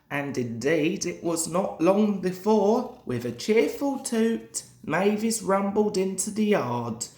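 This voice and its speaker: A young man reading a children's story aloud as a storyteller, in scripted narration. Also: high voice. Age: young adult. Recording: echoey room.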